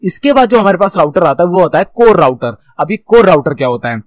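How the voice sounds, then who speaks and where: A man's voice: sits high, very animated, fast, dry recording. A man with an Indian accent giving an explanatory tutorial as an instructor, asking questions and answering them himself in a teacherly way. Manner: switches between Hindi and English terms. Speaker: instructor in computer networking.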